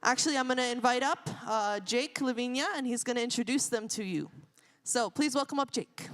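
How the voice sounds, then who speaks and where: A woman emcees a church service, giving brisk, friendly announcements. Her voice sits slightly high. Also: fairly bright tone. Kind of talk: casual announcement.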